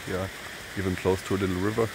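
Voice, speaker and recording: A man's voice: low-pitched, gravelly, background noise. Young German man vlogging outdoors. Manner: relaxed, halting, plain-spoken. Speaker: hiking vlogger. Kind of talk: casual vlog narration. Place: outdoors in mountain meadows. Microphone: phone at arm's length.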